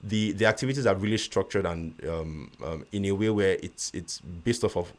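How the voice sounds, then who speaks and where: A man from Nigeria with a Nigerian accent and a very deep voice, talking as an interview guest in a measured way, with restarts and a slight stutter. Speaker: guest, real estate investor. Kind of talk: casual interview conversation.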